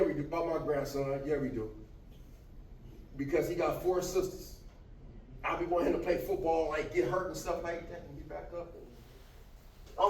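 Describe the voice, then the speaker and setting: Lively man in his fifties, echoey room. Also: on the high side, far from the mic. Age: 50s. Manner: animated, laughs often, jokes with the audience. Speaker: motivational speaker. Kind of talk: casual talk to a class. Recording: echoey room, from afar, faint noise.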